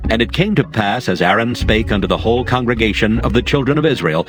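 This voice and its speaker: A man's voice: fairly low pitch, animated, fast, a bit bright, soft background music. A man narrating dramatized scripture in a solemn, formal storytelling manner.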